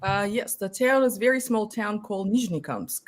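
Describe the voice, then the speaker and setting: A woman born in Russia answers questions briefly. She works as a real estate agent, and her pitch is ordinary. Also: expressive, normal speed.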